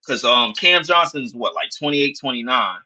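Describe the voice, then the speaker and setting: A man's voice: mid-range voice, normal speed, muffled recording. A man co-hosting a basketball podcast, arguing in a heated, slang-heavy casual way, peppering his points with "bro". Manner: animated, argumentative, heavy slang, repeats "bro". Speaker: podcast co-host. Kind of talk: heated casual sports banter.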